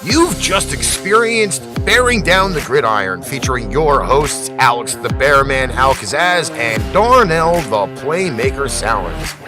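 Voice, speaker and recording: A man delivers a scripted promotional outro with very varied intonation. Music plays clearly beneath his mid-pitched voice. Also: sing-song, unhurried, hardly any pauses. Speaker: announcer. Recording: music under the voice.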